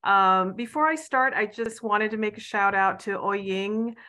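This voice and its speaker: Conference presenter, middle-aged American woman, bright clear voice, friendly and a bit halting. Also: medium pitch. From United States. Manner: friendly, warm presenting with fillers. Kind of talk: conference talk over video call.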